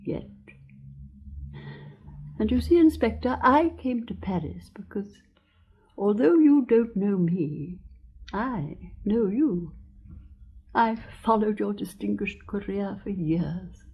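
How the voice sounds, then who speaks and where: A woman's voice: mid-range voice, lively intonation, slow pace, pauses often, breathy edge, dull audio, exaggerated voice. An actress playing a dainty, genteel elderly widow who tells her story in earnest, precise sentences in a radio drama. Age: older. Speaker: witness.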